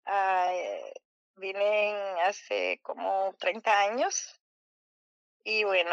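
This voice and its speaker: Salvadoran woman, middle-aged, radio guest; slow, halting delivery with lots of fillers, bright tone, slightly muffled line. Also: medium pitch, vocal fry, dry recording. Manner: hesitant, many fillers. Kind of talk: casual radio interview.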